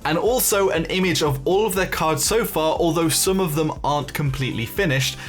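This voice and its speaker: A man's voice: on the high side, fairly quick, faint music behind. A man giving casual, chatty commentary as the host of a gaming news video.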